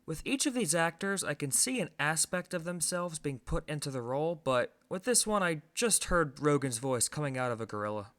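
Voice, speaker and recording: A man's voice: medium pitch, fairly bright tone. A man giving a scripted film review as host, conversational and opinionated, with emphatic stresses.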